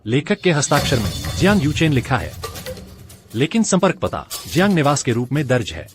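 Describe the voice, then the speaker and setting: A man dubbing a formal academic official who speaks in a stern, measured way. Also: mid-range voice, sing-song, music in the background, close to an everyday voice. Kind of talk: Hindi dub of a drama.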